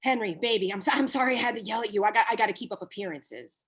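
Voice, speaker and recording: A woman's voice: medium pitch, fairly bright tone, natural voice. A woman reading the part of a brash, foul-mouthed, washed-up male Hollywood agent at a comedy table read. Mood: sheepish. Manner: blunt, profane, halting when cornered.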